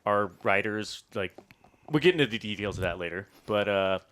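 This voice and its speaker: A man's voice: on the low side, expressive, moderate pace, a bit bright. A laid-back man from Las Vegas, a guest on a podcast, talking casually and rambling with lots of fillers. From Las Vegas, USA. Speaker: guest, tech developer. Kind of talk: casual interview chat.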